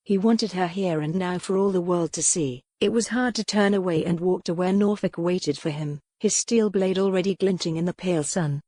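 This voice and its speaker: A woman's voice: fairly low pitch, darkish tone, dry recording. A woman reading a historical romance novel as a scripted, dramatic audiobook narration.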